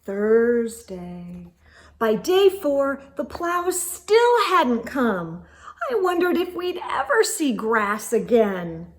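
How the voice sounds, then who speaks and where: Slow-paced, higher-voiced woman reading to children. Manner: expressive, gasps and whispered asides. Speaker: children's read-aloud storyteller. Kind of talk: animated picture-book read-aloud with asides to children.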